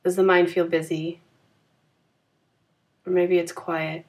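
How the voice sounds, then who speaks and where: A woman leading a guided yoga nidra meditation in a calm, gentle, soothing manner with unhurried phrasing. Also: fairly low pitch.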